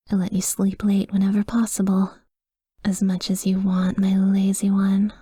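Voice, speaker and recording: A woman performs a devoted female vampire, wistful and loving, in an intimate ASMR roleplay. Her whisper is breathy and slow, with little rise and fall in pitch. She sounds tender and hushed throughout. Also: no echo, clean studio sound.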